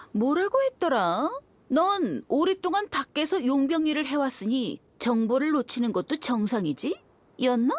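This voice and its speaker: An actress playing a confident, sardonic young female mercenary in a video game, cool and taunting. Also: sits high. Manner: cool, taunting, self-assured. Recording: music behind the voice.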